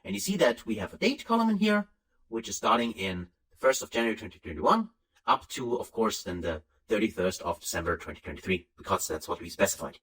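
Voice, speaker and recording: A man whose first language is German walks through a software tutorial step by step, stopping now and then to correct himself. His pitch rises and falls a lot as he explains. The voice sounds set back from the microphone. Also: sing-song, brisk, distant mic, rough recording. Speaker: software instructor. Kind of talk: screen-recorded software tutorial.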